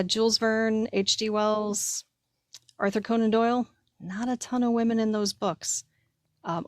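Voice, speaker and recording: Low-pitched woman with a creaky edge. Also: no room sound, clean studio sound. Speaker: podcast co-host and writer. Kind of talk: casual conversational discussion.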